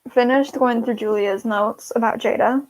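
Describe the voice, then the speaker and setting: A woman's voice: a little high, steady tone, normal speed, darkish tone, rough recording. A woman hosting a casual writing livestream over a video call, talking in a chatty, playful and sometimes defensive way. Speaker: host.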